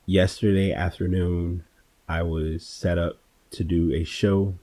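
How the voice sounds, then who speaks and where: A man hosting a solo podcast, speaking in a casual, reflective, unscripted way, often halting and repeating words, with a husky voice. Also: sits low, little pitch movement, dark tone. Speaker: podcast host.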